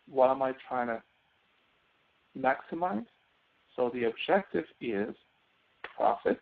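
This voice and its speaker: A man teaching a live software tutorial as a course instructor, explaining things step by step, heard through narrow phone-like audio. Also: mid-range voice.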